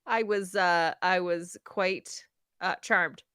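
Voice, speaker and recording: Woman, podcast co-host, casual chat, bright tone, somewhat low pitch. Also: takes it slowly, smooth, no echo, clean studio sound. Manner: chatty, laughs often, mock whispers.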